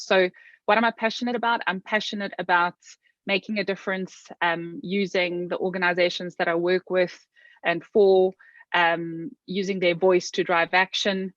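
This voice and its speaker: A South African woman talking as an interview guest, earnest and reflective, often pausing with "um" and restarting her sentences. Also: on the low side, a bit bright, no room sound, muffled recording. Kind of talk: interview conversation.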